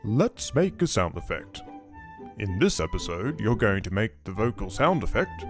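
A man's voice: fairly low pitch, big pitch swings, normal speed, over music. A man presenting a children's show from a script.

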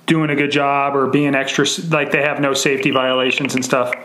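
Man, American accent, interviewer summarizing and probing, halting delivery, strongly echoing phone interview audio. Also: mid-range voice, little pitch movement, fairly bright tone. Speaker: police investigator, interviewer. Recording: very echoey phone interview recording.

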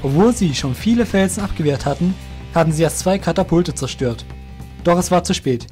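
A man's voice: fairly high pitch, lively intonation, brisk, darkish tone, soft background music. A man narrating a fantasy audio drama from a script, in a storytelling style.

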